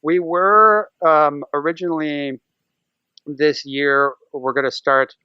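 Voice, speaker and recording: A man's voice: a little high, fairly even intonation, slow, smooth, no room sound, lo-fi sound. An American man chatting casually as a podcast guest, rambling and acting out his own thoughts as he goes. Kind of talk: casual podcast interview chat.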